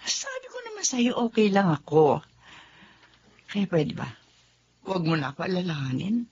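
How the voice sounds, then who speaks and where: A woman's voice: lowish voice, wildly swooping pitch, moderate pace, darkish tone, slightly rough, full character voice. A woman playing a mother hiding a secret love affair, defensive and guarded, also narrating in first person in a slightly muffled radio drama. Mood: dismissive.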